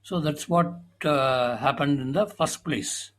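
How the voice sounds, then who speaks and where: Explaining Indian man, fairly high voice. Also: slow pace, a bit bright. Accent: Indian English. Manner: explanatory, frequent uh fillers. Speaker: senior sports journalist, guest. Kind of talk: conversational interview talk.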